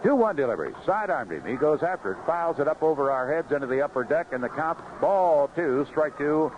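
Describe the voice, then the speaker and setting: A man's voice: on the high side, big pitch swings, a little fast. A man doing live radio play-by-play of a baseball game, conversational and folksy between the calls. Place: outdoor ballpark broadcast booth. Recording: dull, noisy vintage 1970s radio broadcast.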